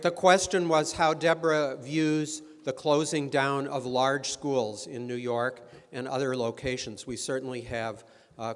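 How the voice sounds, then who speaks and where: A man moderating an informal question session at a luncheon, relaxed and chatty with many fillers. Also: medium pitch. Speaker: event moderator. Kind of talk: informal Q and A hosting. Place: large meeting room. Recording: long reverb of a large hall.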